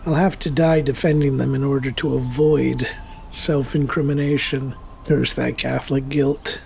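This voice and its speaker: A man playing a guilt-ridden warlock who confesses to killing, in a theatrical, sardonic first-person horror monologue with deliberate pauses. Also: mid-range voice, level tone, vocal fry, a little mellow, slight background noise, natural voice. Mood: rueful. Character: male warlock killer, wry, self-deluding, guilt-ridden confessor. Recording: music under the voice.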